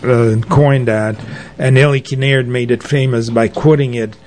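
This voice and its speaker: An older man telling anecdotes as an interview guest, in a halting, conversational way. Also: mid-range voice, steady tone, darkish tone. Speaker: interview guest, arts organizer. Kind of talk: conversational reminiscing.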